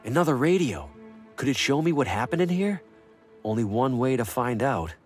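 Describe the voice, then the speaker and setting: Man playing down-to-earth salesman hero, mid-pitched, lively intonation, dry, understated, video game. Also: normal speed, soft background music, close to an everyday voice. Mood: puzzled, determined. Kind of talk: video game character acting, thinking aloud.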